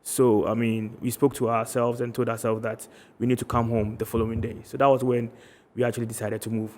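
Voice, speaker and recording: A man's voice: mid-range voice, level tone, fairly quick, darkish tone, long reverb tail. A man in his twenties with a Ghanaian accent, giving somewhat hesitant answers as an interview guest. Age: 20s. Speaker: interview guest, medical student leader. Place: TV studio.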